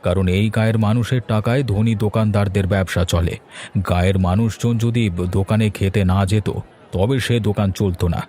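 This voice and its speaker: A man playing a principled city gentleman in a cartoon story, speaking formally and sternly, as if giving a moral lesson. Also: on the low side, fairly even intonation, a little fast, close to an everyday voice. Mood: firm.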